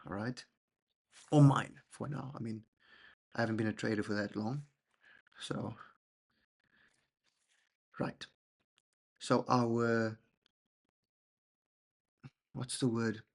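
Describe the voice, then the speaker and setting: A young man, a husky voice and a rambling, self-deprecating manner, walking through trades and often checking in with "okay?". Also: medium pitch. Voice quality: gravelly, husky. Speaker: trading channel host. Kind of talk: casual screen-share trading walkthrough.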